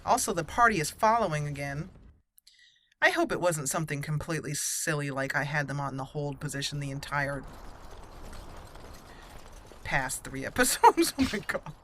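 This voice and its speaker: A woman's voice: sits low, expressive, normal speed, long pauses, a bit bright, slight background noise. A young woman casually commenting on her game as she plays, chatty and giggly, rambling with fillers. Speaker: let's play host.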